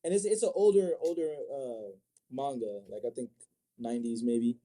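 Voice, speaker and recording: A man's voice: medium pitch, very animated, normal speed, warm tone. A man from Africa chatting casually as an interview guest, agreeable and slangy, often repeating short phrases. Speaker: interview guest, manga artist and sculptor.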